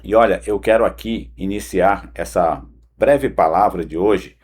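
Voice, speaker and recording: A man's voice: deep voice, unhurried, a little mellow, husky. A man from Brazil, hosting a conversational morning devotional in an earnest, direct way and often adding tag questions. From Pará, northern Brazil. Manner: earnest, direct address with frequent "né" tags. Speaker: host of a morning devotional, former broadcaster. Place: home studio.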